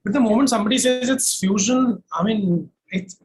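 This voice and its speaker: A man's voice: sits high, normal speed, a little hiss or hum. A man with an Indian accent, a singer-songwriter giving earnest, emphatic, articulate answers in a conversational interview.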